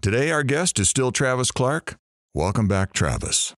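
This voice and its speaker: A man's voice: medium pitch, very animated, moderate pace, no room sound, studio quality, lightly acted. A man playing a wisecracking, sarcastic radio talk show host in an audio drama. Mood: wry.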